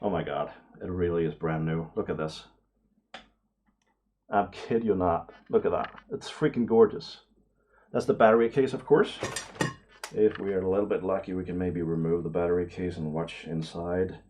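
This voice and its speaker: A man giving casual unboxing commentary to camera, excitable and prone to awestruck exclamations and hesitations. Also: low voice, normal speed, slight background noise. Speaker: vlogger, radio amateur hobbyist.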